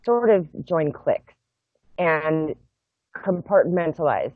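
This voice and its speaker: A middle-aged woman, a guest on a video call from home, explaining her project in a measured, thoughtful way with frequent pauses. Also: low voice, expressive, no echo. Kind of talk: explaining in an interview. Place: home room. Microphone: laptop webcam mic on video call. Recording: tinny phone-like video call audio, dry.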